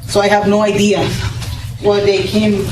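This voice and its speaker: A woman's voice: medium pitch, monotone, unhurried, heavy background noise. A woman from Puerto Rico with a Puerto Rican Spanish accent, a Spanish-speaking parent, asking questions apologetically at a school board meeting. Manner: apologetic, questioning, laughs nervously. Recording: echoey loud room from far off.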